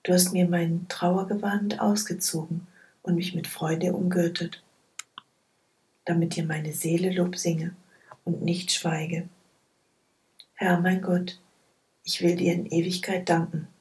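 A woman reading Bible passages aloud in a soft, hushed, measured way. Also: fairly low pitch, little pitch movement, poor audio. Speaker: scripture reader. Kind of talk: slow, quiet Bible reading.

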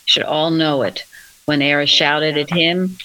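A woman's voice: low voice, fairly even intonation, unhurried, sharp tone, poor audio. A woman leading a religious group discussion, speaking in an instructive, emphatic manner. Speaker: discussion leader.

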